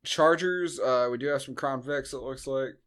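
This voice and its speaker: A man's voice: mid-range voice, normal speed, a bit bright. An American man co-hosting a podcast in casual, rambling, joking conversation. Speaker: podcast co-host. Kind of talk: casual chat, movie review.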